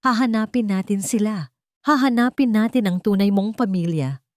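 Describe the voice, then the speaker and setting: A woman's voice: mid-range voice, normal speed, a little mellow, dry recording, studio quality, voice pushed up, natural voice. A woman voicing a serious, wealthy young male boss in a love story, terse and earnest, with a Filipino accent. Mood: serious, resolved.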